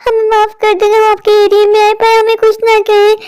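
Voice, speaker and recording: A woman's voice: extremely high pitch, monotone, brisk, darkish tone. A woman voicing a timid young runaway bride in a cartoon story, fretful and pleading, with a childlike voice.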